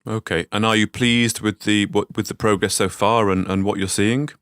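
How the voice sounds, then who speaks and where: A male interviewer asks short questions in a low voice. He restarts his phrasing now and then. The sound is dry, with no room around it. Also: steady tone, normal speed, fairly bright tone, clean tone, dry recording. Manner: brief questions with small restarts. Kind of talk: business interview.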